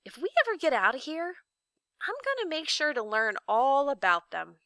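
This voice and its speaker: A woman's voice: high voice, big pitch swings, moderate pace, sharp tone, dry recording, studio quality, voice pushed up, exaggerated voice. A woman voicing an earnest, curious young boy in a children's storybook, plain-spoken and easily startled. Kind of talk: children's storybook read-aloud.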